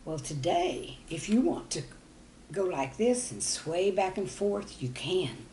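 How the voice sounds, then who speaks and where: Woman, lower pitch, very lively intonation, folksy children's storytelling, noticeable background noise. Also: takes it slowly. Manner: folksy, animated, addresses young listeners directly. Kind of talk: expressive storytelling for young children.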